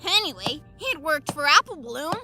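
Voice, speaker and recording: Woman playing a high-voiced menacing young cartoon pony. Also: big pitch swings, normal speed, music in the background. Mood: mocking. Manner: taunting, menacing. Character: young female cartoon pony, cocky and sadistic villain. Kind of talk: amateur audio story voice acting.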